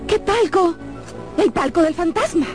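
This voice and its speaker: Brief: scared young opera girl, very high voice, swooping and exclamatory, music underneath. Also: animated, a little slow, a little mellow. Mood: agitated, insistent. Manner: breathless, exclamatory, gossipy. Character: frightened, excitable opera girl insisting a phantom exists. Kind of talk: radio drama acting. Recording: music behind the voice.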